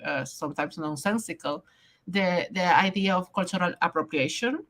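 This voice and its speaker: A woman with a somewhat low voice hosts a casual podcast conversation. She works through her thoughts aloud, pausing and restarting often as she goes. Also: fairly bright tone. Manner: hesitant, many fillers and restarts while thinking aloud. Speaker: podcast host.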